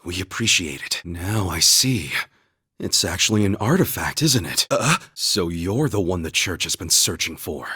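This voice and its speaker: Earnest young game knight, low husky voice, solemn, measured, loyal, formal. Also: male voice, lively intonation, sharp tone, no echo, clean studio sound, full character voice. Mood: subdued, grave. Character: earnest, steadfast young male warrior-knight, devout and loyal. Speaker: video game character voice actor. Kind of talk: dramatic English video game voice acting.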